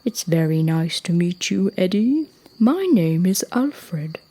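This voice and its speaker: A woman voicing a huge, gentle, courteous male elephant with a slow, reassuring delivery in a children's storybook. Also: on the low side, expressive. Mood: friendly, reassuring.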